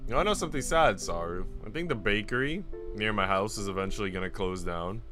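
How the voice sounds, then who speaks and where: A man's voice: on the low side, expressive, fast talker. A man streaming a story read-aloud, with casual, slangy chat to his viewers. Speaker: streamer reading a visual novel aloud. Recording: music playing behind the voice. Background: guitar and instrumental music.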